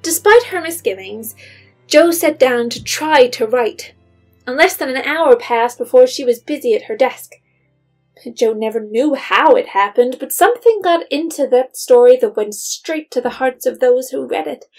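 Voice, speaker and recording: A woman's voice: high-pitched, normal speed, faint music behind. A woman narrator reading a scripted period story as storytelling narration.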